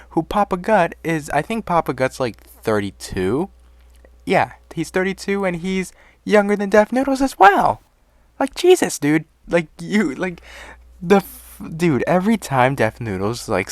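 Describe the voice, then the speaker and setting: A man's voice: a little high, no room sound. A man giving casual, exasperated commentary on online drama, swearing and rambling through asides.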